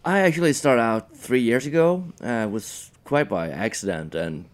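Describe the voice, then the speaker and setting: A man's voice: mid-range voice, expressive, moderate pace. A man in his thirties from Norway with a Norwegian accent, a brewery guest chatting casually and joking about himself. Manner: joking, self-deprecating. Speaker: brewery sales manager guest. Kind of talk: casual interview chat.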